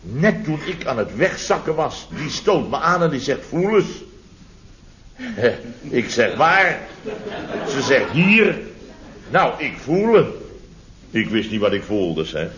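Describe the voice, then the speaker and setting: An actor playing a flustered, blustering married building contractor boss in a radio comedy serial, excitable and stammering, repeating himself. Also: male voice, medium pitch, slow pace, pauses often. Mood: animated storytelling. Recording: muffled vintage radio recording.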